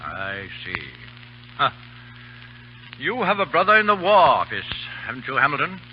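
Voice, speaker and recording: An actor playing a stern, proud British colonel in an old radio drama, with gruff, clipped military speech. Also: male voice, medium pitch, sing-song, normal speed, lightly acted. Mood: suspicious, dry. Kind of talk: 1930s radio drama acting. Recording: muffled, noisy old radio recording with hum.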